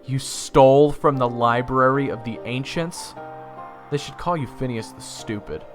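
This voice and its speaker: A man's voice: mid-range voice, measured pace, close to an everyday voice. Audio drama jester-narrator, played by a man: casual, wry, easily flustered, long reverb, music behind. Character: wisecracking, easily flustered jester telling his own story. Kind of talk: first-person audio drama narration and dialogue.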